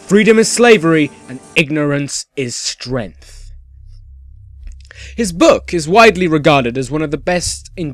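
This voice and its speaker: A man's voice: a little high, dry recording. A man playing a cheerful yet sinister dystopian radio host, delivering scripted propaganda in a theatrical radio-play style. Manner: theatrical, dramatic, swinging from grand proclamation to hushed menace. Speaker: radio announcer.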